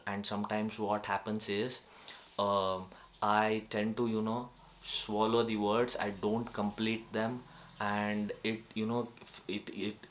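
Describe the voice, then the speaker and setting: A young man with an Indian accent, a native Kannada speaker, talking casually to camera in a vlog, hesitant and full of fillers and restarts. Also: lowish voice. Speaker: vlogger. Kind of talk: casual self-improvement vlog.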